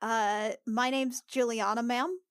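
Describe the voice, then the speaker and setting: A woman's voice: a little high, steady tone, slow, smooth, dry recording. Polite, hesitant woman in dry sound. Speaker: tabletop game player. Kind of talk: improvised in-character game dialogue.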